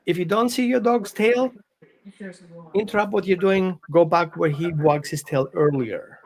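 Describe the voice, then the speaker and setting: A man's voice: high voice, measured pace. A man, a dog behavior consultant explaining on a live show with phrasing and quoted examples. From Greece and Austria.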